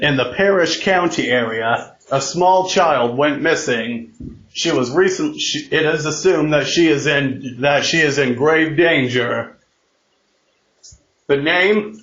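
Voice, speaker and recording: A man improvising a mock emergency broadcast announcement, haltingly, often repeating and restarting his phrases. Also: on the high side, a bit bright. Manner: mimics alert tones with spoken sounds. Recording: muffled, echoey room recording.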